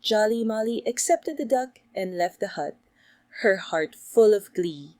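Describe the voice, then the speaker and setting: A woman's voice: medium pitch, expressive, measured pace, darkish tone, dry recording. A woman narrates a children's bedtime story from a script. Her voice is mid-range, and the recording is very dry, with no room sound around it.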